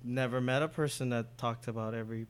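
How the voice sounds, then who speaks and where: Smooth-voiced American man telling casual stories. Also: mid-range voice, steady tone, fast talker. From born in Indonesia, moved to the US at five. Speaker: interview guest, food business owner. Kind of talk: casual conversational storytelling.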